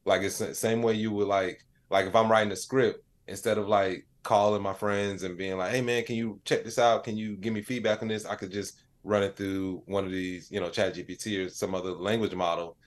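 A man's voice: on the low side, brisk, few pauses. An American man chatting casually as a podcast interview guest, telling stories and voicing dialogue, with lots of "like" and "you know". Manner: animated storyteller, acts out quoted dialogue, peppers talk with "like" and "you know". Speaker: interview guest, AI educator and comedian.

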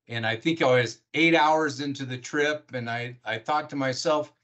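A middle-aged American man hosts an interview podcast. His voice sits at a medium pitch, and he speaks conversationally as he puts questions to his guest. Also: moderate pace, fairly bright tone. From Washington State, United States.

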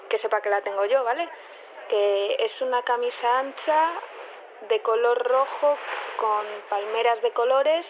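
A friendly, scatterbrained woman engineer from an audio drama, rambles through a voicemail in a Spain accent. Her voice is fairly high, over a tinny phone line with faint noise. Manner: chatty, rambling, hesitant, colloquial. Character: scatterbrained, friendly female lab engineer leaving community voicemail. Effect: phone filter. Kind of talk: casual voicemail in audio fiction.

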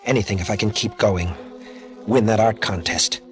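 An actor playing an ambitious, opportunistic young artist, brooding and calculating, in 1950s radio drama style. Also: male voice, fairly low pitch, normal speed, natural voice. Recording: old radio recording, music behind.

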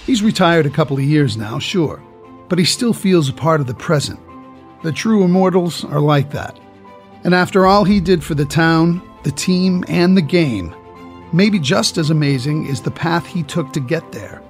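A man narrating a documentary from a script in a measured, reflective way. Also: a little high, expressive, faint music behind.

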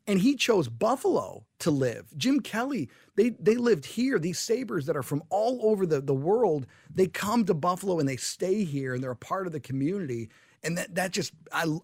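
A man's voice: on the high side, darkish tone, no echo. A man hosting talk radio, speaking in an impassioned, rambling way with frequent restarts, into a studio microphone. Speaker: radio talk show host.

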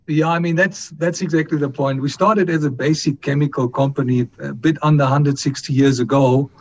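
Measured middle-aged man on a muffled line. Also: steady tone. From Colombia. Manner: measured, with frequent fillers and restarts. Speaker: company chief executive, guest. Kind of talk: interview answers. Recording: muffled line with faint rumble.

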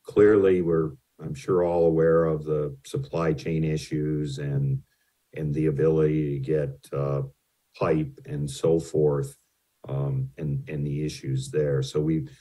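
A man's voice: very deep voice, dark tone, husky. A man presenting financial slides to a city council, hesitant and full of ums and restarts, who says his voice tends to carry. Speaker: utility rate consultant presenting to a city.

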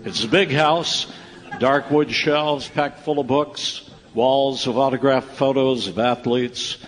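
A man's voice: mid-range voice, steady tone, takes it slowly, a little hiss or hum. A man narrating a radio play in a conversational storytelling style. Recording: reverberant hall. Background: music.

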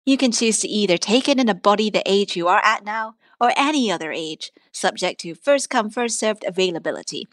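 A woman reading scripted literary prose aloud in a measured, articulate way. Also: medium pitch, expressive, a bit bright, no echo, studio quality. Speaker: reader of prose and poetry.

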